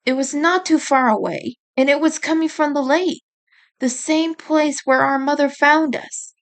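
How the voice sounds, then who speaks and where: Slow, high-voiced woman narrating a story. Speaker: author reading own book chapter.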